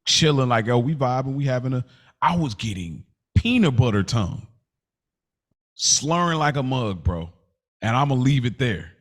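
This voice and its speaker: A man's voice: mid-range voice, animated, moderate pace. An American man co-hosting a podcast, chatting casually in a slangy, reflective and candid way. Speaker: podcast co-host. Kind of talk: casual conversation.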